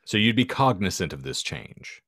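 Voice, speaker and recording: Male podcast co-host, dry sound, extremely animated intonation, wordy and mock-solemn, casual banter. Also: sits low, moderate pace, dry recording.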